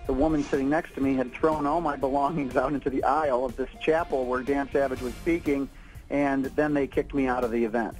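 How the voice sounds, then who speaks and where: A man with an American accent and a middle-pitched voice talks as an activist on a radio program. Faint music plays behind him. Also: steady tone, fairly quick, darkish tone, poor audio. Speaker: activist speaker. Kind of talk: radio talk.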